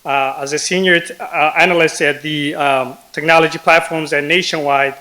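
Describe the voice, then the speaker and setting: Bright, even-toned man giving halting advice. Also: a little high. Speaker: guest speaker, professional. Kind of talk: informal advice speech to students.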